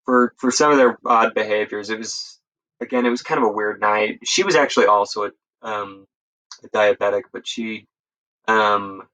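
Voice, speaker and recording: A man casually telling work stories as a guest, in a halting, informal way and often correcting himself. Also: fairly low pitch, pushed and bright, poor audio. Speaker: guest, emergency medical worker sharing stories. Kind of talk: casual storytelling.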